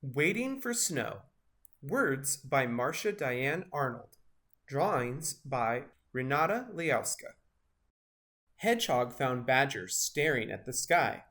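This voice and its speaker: A man narrating a children's picture book in an expressive storytelling manner. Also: medium pitch, takes it slowly. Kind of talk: scripted children's story read-aloud.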